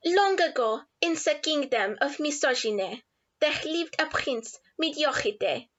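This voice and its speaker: A woman's voice: high voice, expressive, unhurried, fairly bright tone. A woman storyteller giving a scripted, rhyming fairy-tale narration. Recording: slightly dull, lo-fi.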